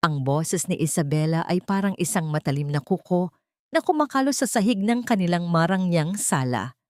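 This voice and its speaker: A woman's voice: lowish voice, lively intonation, normal speed, no echo, clean studio sound. A woman reading a dramatic story as an audiobook narrator in a solemn, melodramatic manner. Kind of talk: dramatic scripted narration of a Tagalog love story.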